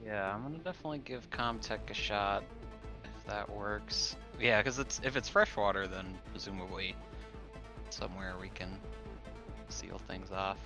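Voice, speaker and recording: Man, forceful bright tone, casual tabletop player, lo-fi recording, music behind. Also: on the low side, moderate pace. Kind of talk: casual game-table chat.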